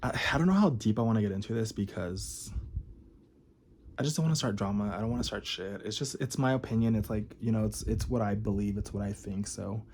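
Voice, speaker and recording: Man, early thirties, lowish voice, candid and rambling, lots of fillers, confessional vlog delivery. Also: fairly quick, darkish tone, slightly rough. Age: 30s. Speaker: vlogger. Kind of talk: confessional talk to camera.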